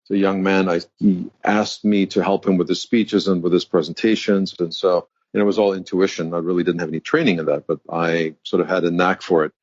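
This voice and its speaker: A man's voice: low voice, little pitch movement, brisk, few pauses, a little mellow, no echo. A man in his fifties from Germany, speaking, who tells his story as a guest executive coach in a reflective, articulate way. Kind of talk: interview conversation. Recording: dull, dry sound.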